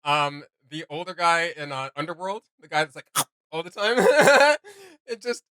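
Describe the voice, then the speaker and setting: A young man co-hosting a reaction video in casual, excited chat, halting and informal as he searches for words. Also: a little high, clear, resonant tone, no room sound.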